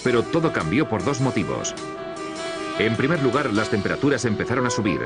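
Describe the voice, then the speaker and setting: Deep-ish guy doing dubbed doc narration, music playing under. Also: sing-song, brisk. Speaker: documentary narrator. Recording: narration over music from a film.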